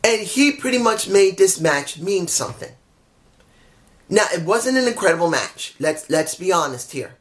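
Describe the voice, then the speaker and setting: A man with a somewhat high voice, hoarse from talking, picks apart a wrestling show in a loose, opinionated way. He rambles and restarts his sentences, honest and a bit frustrated. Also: very animated, moderate pace, fairly bright tone. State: losing his voice. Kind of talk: casual opinionated review.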